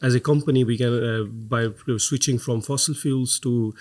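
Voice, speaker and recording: A man's voice: mid-range voice, fairly even intonation, moderate pace. A man, a podcast guest speaking earnestly with many hesitations. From Pakistan. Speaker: guest, head of green fuels. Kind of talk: podcast interview.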